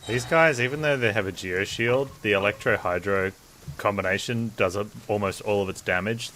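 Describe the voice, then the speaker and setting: A man's voice: lowish voice, normal speed, pushed and bright, slight background noise, low-quality recording. A man casually chatting and thinking aloud as a game streamer, with game music behind him. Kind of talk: casual live gameplay commentary.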